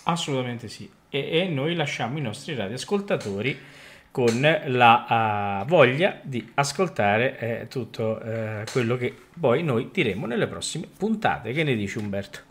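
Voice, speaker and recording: A man's voice: mid-range voice, lively intonation, measured pace. A man hosting a radio show in a casual, conversational style. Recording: clearly audible noise and clicks.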